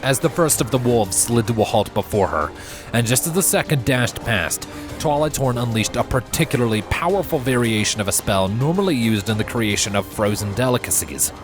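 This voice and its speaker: A man reading a fantasy story as narrator, in an expressive, measured way with emphatic drawn-out phrases. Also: mid-range voice. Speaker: fan fiction narrator. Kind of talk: scripted dramatic story narration. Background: background music under the voice.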